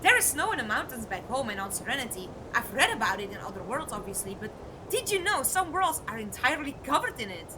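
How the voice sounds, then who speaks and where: Lady acting an excitable curious young woman space traveller, high and super swoopy, background noise. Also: quick pace. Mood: delighted. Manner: animated, inquisitive, frank. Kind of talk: audio drama acting.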